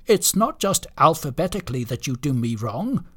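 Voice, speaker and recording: A man's voice: fairly high pitch, very animated, normal speed. A man voicing a proud, argumentative reindeer in a storybook, speaking in an indignant, pedantic manner.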